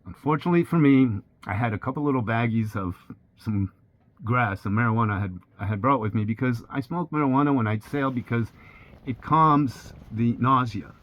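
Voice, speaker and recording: A man's voice: medium pitch, fairly quick. A man in his sixties whose first language is French, candidly recounting his own ordeal as a sailing vlogger. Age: 60s. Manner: plain, candid retelling with steady pacing. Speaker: sailor vlogger. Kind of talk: personal storytelling narration. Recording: slightly dull with faint hiss.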